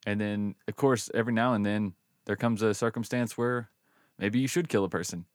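A man's voice: on the low side, steady tone, fairly quick, dry recording, clean studio sound. A man co-hosting a podcast, reacting in laid-back, slangy chat. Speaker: podcast co-host. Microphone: close podcast microphone.

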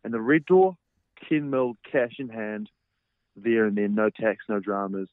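A man's voice: on the low side, animated, a little slow, no room sound. New Zealand man on a tinny phone line. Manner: breezy, friendly. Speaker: voicemail caller. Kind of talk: voicemail message.

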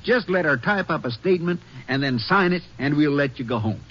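Husky, patient small-town sheriff. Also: male voice, fairly high pitch, animated, a little fast, fairly bright tone. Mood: patient, firm. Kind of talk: 1950s radio drama acting. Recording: dull old radio broadcast.

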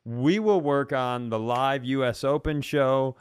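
A man's voice: mid-range voice, measured pace, clear, resonant tone. A middle-aged man co-hosting a comedy podcast, bantering and telling stories casually in a mock-earnest way. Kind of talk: casual comic banter and storytelling.

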